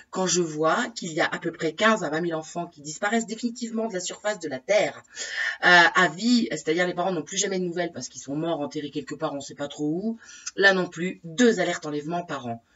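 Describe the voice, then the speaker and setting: Stuffy woman with a cold venting to her followers, breathy, kinda muffled and distant. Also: fairly low pitch, fairly bright tone. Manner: indignant, exasperated, repeats herself, many fillers and tag questions. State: has a head cold. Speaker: vlogger addressing her followers. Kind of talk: casual ranting monologue to camera. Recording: distant, dull lo-fi recording.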